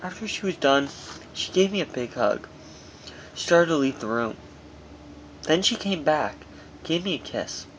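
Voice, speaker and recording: A man's voice: on the high side, lively intonation, measured pace, long pauses, slight background noise, low-quality recording. A man reading his own memoir aloud as narration, in a personal first-person delivery with dramatic emphasis, on an amateur recording.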